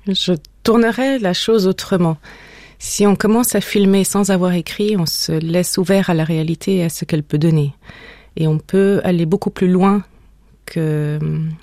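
A woman in her thirties from Switzerland and Denmark, a documentary filmmaker giving a radio interview in a calm, firm, plain-spoken way. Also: lowish voice, normal speed, no echo, studio quality. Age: 30s.

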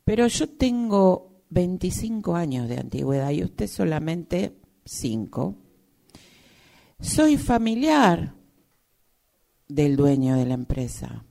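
A woman acting as a weary, aggrieved seamstress who protests haltingly to her boss in an improvised radio drama. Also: on the low side, big pitch swings, creaky, dark tone, heavily acted. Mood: tired, defiant.

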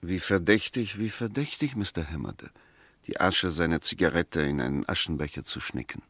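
Low-voiced, sardonic barrister in a radio play. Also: male voice, lively intonation, fairly bright tone, no echo, heavily acted. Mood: mocking. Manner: rhetorical, emphatic, deliberate phrasing. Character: eloquent male defence barrister, sardonic and insistent. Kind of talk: 1960s German radio play courtroom acting.

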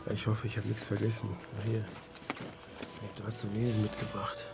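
An actor playing a gruff, blunt, rumpled investigator in a German radio drama, talking colloquially. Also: male voice, lowish voice, completely flat delivery, brisk, heavy background noise. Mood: subdued.

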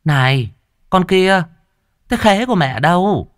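A man voicing a shrill, scheming middle-aged stepmother who screeches and wails. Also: animated, a little slow, fairly bright tone, no echo, clean studio sound. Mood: scolding. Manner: screeching, wailing, scolding.

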